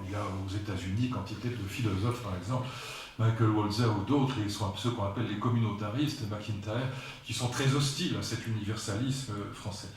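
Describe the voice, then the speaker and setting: A man's voice: fairly low pitch, slight background noise. A man in his sixties from France giving a public philosophy lecture, talking in a digressive, emphatic way with asides to the audience. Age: 60s. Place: lecture hall. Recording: very echoey hall, voice picked up from far off.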